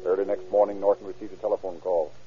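An actor as the sober narrator of a crime case, speaking formally in 1940s radio drama style. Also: male voice, low voice, level tone, slight background noise, natural voice. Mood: sober, dramatic. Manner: formal, measured delivery. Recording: dull old radio recording. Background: music.

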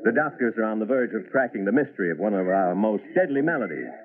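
A man acting in an old-time radio drama as a stern, authoritative gentleman, formal, grave and insistent. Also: on the low side, expressive, fast, crowd noise, lightly acted. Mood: grave, urgent. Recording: phone-like vintage radio recording, background commotion.

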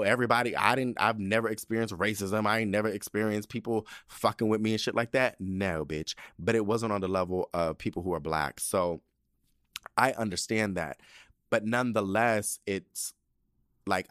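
Sassy, profane young man, low voice. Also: dry recording, clean studio sound. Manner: profane, animated, sassy storytelling. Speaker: podcast host. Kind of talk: casual monologue.

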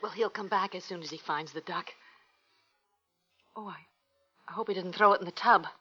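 A woman's voice: fairly low pitch, normal speed, pushed and bright, slightly rough, soft background music. An actress playing an anxious young American mother in a mid-century radio drama, fretful and urgent, sometimes stammering. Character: frantic young mother locked in a basement. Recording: dull, noisy old radio recording with clicks.

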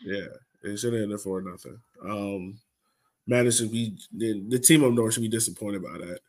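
A man's voice: fairly low pitch, moderate pace, a little mellow. A man co-hosting a sports podcast, recapping a match in a colloquial, exasperated way. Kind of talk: casual post-match soccer discussion.

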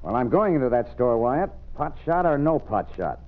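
An actor playing a stern, forthright American frontier doctor in an old radio western. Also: male voice, mid-range voice, very animated, moderate pace, close to an everyday voice. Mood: firm, indignant. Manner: firm, forthright. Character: stern, brave frontier town doctor, adult man. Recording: tinny old radio recording.

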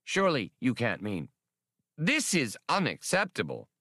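A man's voice: mid-range voice, huge pitch swings, measured pace, a bit bright, no echo, clean studio sound, voice pushed up, full character voice. A man voicing a timid, proper insectoid alien professor in an audiobook, formal and fussy. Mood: dismayed.

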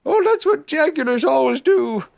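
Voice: high-pitched, sing-song, normal speed, creaky, voice pushed up, exaggerated voice. A reader voicing a placid, naive little bear who explains things earnestly and matter-of-factly in a storybook. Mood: interested, earnest.

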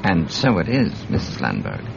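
A sinister, courteous spy with a cultured English accent. His creaky voice rises and falls dramatically, insinuating and quietly menacing, in old radio drama style on a dull recording. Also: low-pitched, wildly swooping pitch, normal speed, background noise, exaggerated voice. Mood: smooth, probing. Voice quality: cultured, well-educated voice. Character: suave, sinister male Soviet spy feigning politeness.

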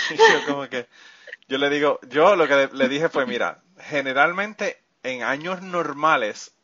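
Teasing Puerto Rican podcast host. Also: male voice, on the high side, expressive, unhurried, bright, forceful tone. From Puerto Rico. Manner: playful teasing, laughs often. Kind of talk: casual podcast chat. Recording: dull lo-fi sound.

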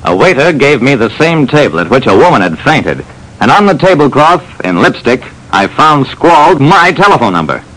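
A brisk hardboiled detective. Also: male voice, mid-range voice, very animated, a bit bright, poor audio. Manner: brisk, clipped, self-assured. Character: hardboiled male private detective narrator. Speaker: narrator and lead. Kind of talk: 1940s radio acting.

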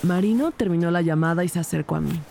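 A woman's voice: lowish voice, quick pace, dark tone, a little hiss or hum. A woman playing a detective who narrates her case in a scripted audio drama. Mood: narrating. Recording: clear background music under voice.

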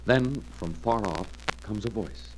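A man's voice: lowish voice, measured pace, lightly acted. A man as the announcer of an old radio adventure serial, narrating with dramatic suspense. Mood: suspenseful. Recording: slightly noisy old radio recording.

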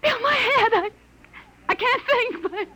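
An actress playing a frightened, pleading young wife in a melodramatic 1950s radio drama. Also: female voice, extremely high pitch, lively intonation, measured pace, sharp tone, exaggerated voice. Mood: desperate.

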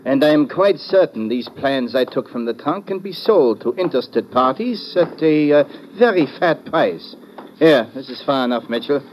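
An actor playing a suave, formal, politely threatening villain in an old radio drama. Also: male voice, mid-range voice, expressive, moderate pace, darkish tone, close to an everyday voice, poor audio. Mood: coldly menacing. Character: suave, coolly menacing male villain with a pistol. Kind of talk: 1940s-50s radio drama acting. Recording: old radio recording, faint engine noise.

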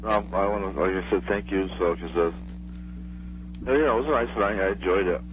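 A middle-aged man chats casually as a radio caller, his voice on the low side. He is hesitant and rambles. The phone sound is dull, with faint hum and noise. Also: fairly quick. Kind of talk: casual chat. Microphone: telephone.

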